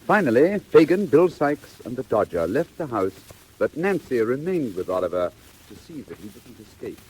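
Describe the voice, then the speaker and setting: Highly animated dramatic storyteller. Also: male voice, normal speed, slightly rough, low-quality recording. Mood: grave. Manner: formal, theatrical. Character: grave, dramatic storyteller of a classic tale. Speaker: narrator. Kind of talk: scripted 1940s dramatic narration. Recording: old 1940s record with faint noise.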